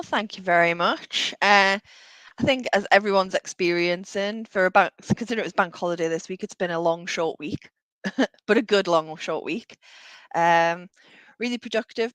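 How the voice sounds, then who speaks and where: A woman co-hosting a live webcast, friendly and brisk, chatting about work. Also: mid-range voice, a bit bright, no room sound. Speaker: co-host.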